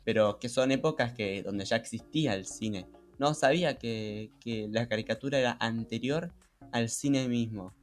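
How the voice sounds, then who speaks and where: A man's voice: medium pitch, moderate pace. Male co-host for a chatty podcast, Rioplatense Spanish accent, mid-range voice, conversational delivery, light background music. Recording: music behind.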